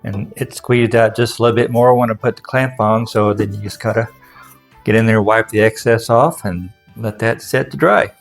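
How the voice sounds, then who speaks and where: A man's voice: fairly low pitch, moderate pace, gravelly, soft background music. A man giving casual, plain-spoken how-to narration as a craftsman, explaining things step by step in a folksy way. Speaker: furniture restorer and instructor.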